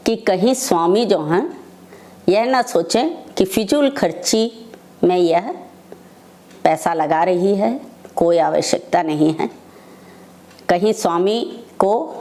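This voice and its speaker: An older woman shares personal stories of her faith. Her voice sits slightly low for a woman. She speaks very slowly, breaking her account into short phrases with pauses between them. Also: darkish tone. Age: older, over 60. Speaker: devotee sharing personal testimony. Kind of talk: devotional personal storytelling.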